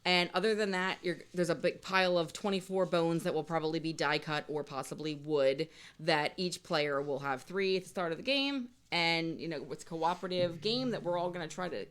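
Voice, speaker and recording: Woman game designer w a low voice, chatting casually about how her board game works. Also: brisk. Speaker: game designer being interviewed.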